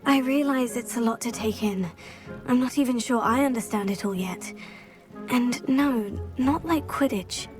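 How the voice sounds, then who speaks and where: A woman's voice: a little high, measured pace, a little mellow, lightly acted. A young woman playing a thoughtful, determined teenage schoolgirl witch in a video game cutscene. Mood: earnest explaining. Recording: game music behind the voice. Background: game music.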